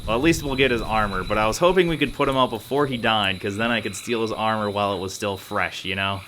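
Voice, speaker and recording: A man's voice: lowish voice, fast, fairly bright tone, a little hiss or hum. A man rambling casually as he comments on the video game he is playing. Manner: chatty, rambling, thinks aloud. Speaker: let's play gamer.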